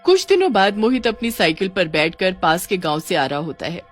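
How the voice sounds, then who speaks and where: A woman's voice: on the low side, very animated, brisk. Woman narrating a story over music. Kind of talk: scripted narration of an animated horror story. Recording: music under the voice.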